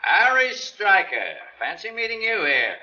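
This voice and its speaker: A man's voice: high voice, big pitch swings, unhurried, background noise. An actor playing a sly, mocking newspaper reporter who relishes his scoop, in 1940s radio drama style. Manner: mocking, insinuating, chuckling. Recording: dull, lo-fi vintage radio recording.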